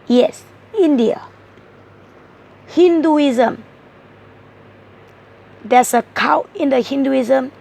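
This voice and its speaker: A hoarse woman talks through a tarot spread, explaining each card earnestly and point by point. Her voice sits high, with a heavy gravelly fry running through it, and a husky, breathy edge. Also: darkish tone. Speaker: tarot reader. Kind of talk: tarot card reading monologue.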